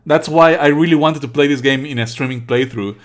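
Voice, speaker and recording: A man giving live let's play commentary and reading game text aloud, exasperated and sarcastic, with animated outbursts and frequent swearing. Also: a little high, fairly quick. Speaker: streamer playing and commenting on a visual novel.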